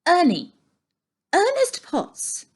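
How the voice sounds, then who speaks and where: Woman playing a brisk, no-nonsense storybook aunt. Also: very high-pitched, wildly swooping pitch, voice pushed up, exaggerated voice. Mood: exasperated yelling. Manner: brisk, commanding. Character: firm, no-nonsense aunt, kind to boy, bossy to husband.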